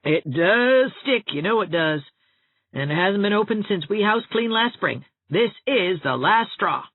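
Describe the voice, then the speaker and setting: A woman reading a storybook as a panicky, fussy female housekeeper, gasping and tragic, with drawn-out emphatic words. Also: mid-range voice, animated, no room sound, narrow, tinny audio, pitched above the performer's own voice.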